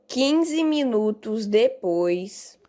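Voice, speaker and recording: A woman's voice: on the high side, animated, very slow, warm tone. A woman with a Brazilian accent reading scripted story narration as the narrator.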